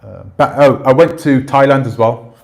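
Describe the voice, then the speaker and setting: A man in his forties chatting casually on a livestream, rambling and relaxed, swearing now and then. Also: medium pitch, normal speed, gravelly. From Jamaican family roots. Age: 40s. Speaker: channel host. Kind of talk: casual livestream chat reading comments.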